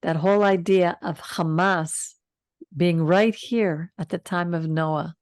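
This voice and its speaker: An older woman hosting a Torah study over a video call, reading the passage slowly and calmly and stopping to comment. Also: fairly low pitch, dry recording, studio quality. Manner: slow, calm reading with side comments. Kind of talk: reading scripture and teaching.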